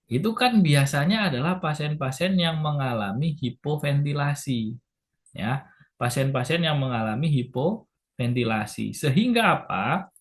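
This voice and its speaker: Slow, patient man lecturing. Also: on the high side. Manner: slow, patient, repeats key points with "ya". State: sore throat, clears throat and hiccups. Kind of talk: lecture to health workers.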